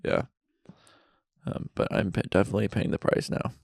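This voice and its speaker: A man's voice: normal speed, darkish tone, no room sound, clean studio sound. A young man co-hosting a podcast in a friend's basement, rambling through stories and poking fun at himself. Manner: rambling storyteller, self-mocking. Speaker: podcast co-host. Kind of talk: casual podcast chat.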